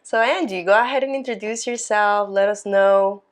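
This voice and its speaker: A woman's voice: medium pitch, moderate pace. A woman hosting a podcast, asking her close friend questions in a friendly, loose and giggly way. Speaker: podcast host. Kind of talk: casual interview chat.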